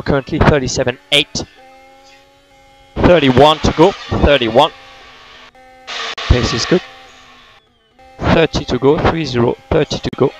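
A man's voice: fairly high pitch, vocal fry. A man working as a race engineer, giving terse, repeated instructions in a clipped manner over team radio. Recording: noisy motorsport team radio.

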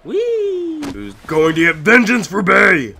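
A young man casually commentating a video game as he plays, chatty and joking, with sudden loud outbursts. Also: sits high, huge pitch swings, takes it slowly, gravelly, slight background noise. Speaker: gaming YouTuber. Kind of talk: casual let's-play commentary.

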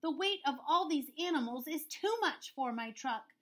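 Woman playing a patient, animated storybook farmer. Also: sits high, normal speed, pitched above the performer's own voice. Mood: good-natured. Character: good-natured, patient male farmer in a storybook.

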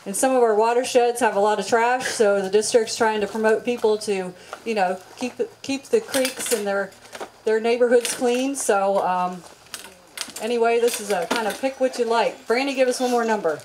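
Woman, fairly high voice, casual emcee announcements, halting with fillers, slight background noise. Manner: friendly, halting, many fillers. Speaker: event organizer and emcee. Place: large open tent outdoors.

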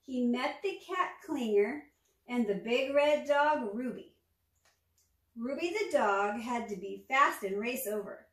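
Slow, echoey woman reading to children. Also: fairly high pitch, animated. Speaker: storytime reader, former teacher. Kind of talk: children's picture-book read-aloud. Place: small furnished room at home, indoors. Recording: echoey room, distant microphone.

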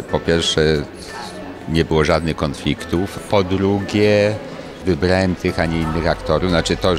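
A man, a director, reflecting on a production in an interview. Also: a bass voice. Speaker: stage director.

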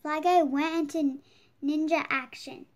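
Slow, very high-pitched young storybook reader. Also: steady tone. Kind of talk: slow read-aloud of a children's picture book.

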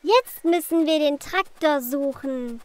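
A woman voicing a cheerful, helpful little girl in a children's cartoon, encouraging young viewers clearly. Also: high-pitched, slow pace, slight background noise. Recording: music under the voice. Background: children's music.

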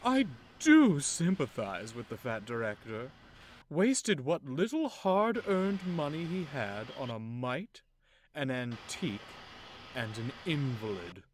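A man voicing a pompous, boastful male tank engine who talks down to everyone, loud and grandiose. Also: medium pitch, sing-song, takes it slowly, a little hiss or hum, voice pushed up.

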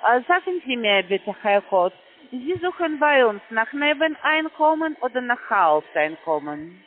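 A woman's voice: on the high side, very animated, a little slow, slight background noise. A woman working as a call center agent on a phone call, talking in broken grammar with constant hesitant fillers. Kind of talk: phone sales pitch. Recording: tinny dry phone line.

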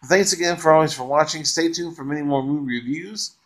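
A man's voice: fairly high pitch, brisk. A middle-aged man giving a casual, unscripted movie review from his bedroom, lying back and talking into a close webcam, rambling with lots of fillers and gushing praise. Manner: relaxed, rambling, frequent uh fillers, enthusiastic praise. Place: bedroom, lying on a couch or bed, indoors. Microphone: webcam close to the face.

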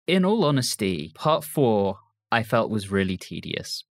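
A man's voice: on the low side, sing-song, a little slow, no room sound. A man hosting a podcast, discussing an audio drama. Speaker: podcast host.

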